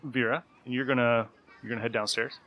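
A man's voice: medium pitch, level tone, brisk, a bit bright. A man hosting as game master, narrating a tabletop adventure and chatting casually with the players.

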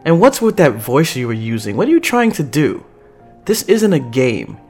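A man voicing a stern, protective swordswoman and mentor who speaks with forceful care in a story. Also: mid-range voice, steady tone, a little slow, faint music behind. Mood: scolding.

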